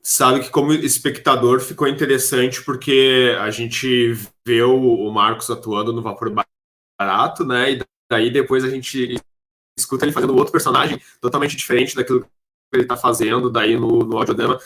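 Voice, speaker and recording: A man's voice: medium pitch, steady tone, normal speed, a bit bright. A man with a Brazilian accent making casual comments as an interviewer on a podcast.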